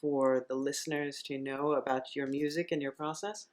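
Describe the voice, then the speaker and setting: A woman's voice: extremely low pitch, level tone, moderate pace, darkish tone. An American woman from San Diego hosting a casual, conversational interview. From San Diego, United States. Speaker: podcast host and interviewer.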